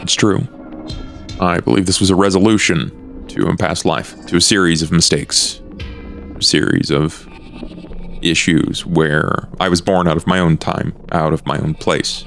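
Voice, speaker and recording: A man playing a brooding male vampire in a tabletop game, speaking in a gravelly, measured, halting and formal way. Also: low-pitched, fairly bright tone, exaggerated voice. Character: Duke, brooding male vampire with a haunted past.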